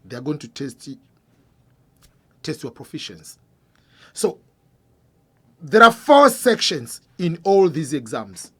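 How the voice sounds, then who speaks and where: A man hosting a scholarship advice channel, explaining in an earnest, informal way, repeating points and putting questions to the viewer, with his voice dropping low and quiet at the end of phrases. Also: sing-song, takes it slowly, pauses often, slightly rough. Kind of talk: informal explanatory talk to camera.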